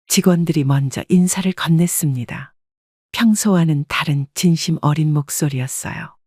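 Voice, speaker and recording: A woman reading a scripted first-person story as an audiobook narrator, in a measured, polite storytelling manner. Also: low voice, dark tone, breathy edge, dry recording, clean studio sound.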